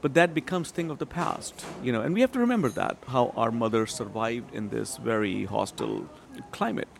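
A man's voice: medium pitch, animated, brisk, gravelly, a little hiss or hum. A middle-aged man from Pakistan, a writer and translator giving discursive interview answers with many fillers. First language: Urdu or Punjabi.

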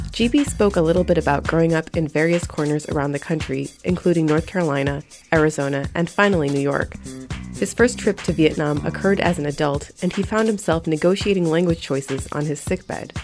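A woman interviewer reading scripted narration in a measured, composed way. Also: low voice, a little mellow, faint music behind.

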